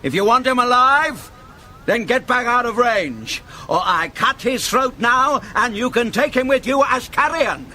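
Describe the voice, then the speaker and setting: High-pitched, sneering, cruel outlaw lord. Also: male voice, lively intonation, measured pace, bright, forceful tone, a little hiss or hum, full character voice. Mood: shouted threat. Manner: sneering, commanding, threatening. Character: cruel menacing outlaw lord, contemptuous, threatening a hostage boy. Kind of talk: radio drama acting.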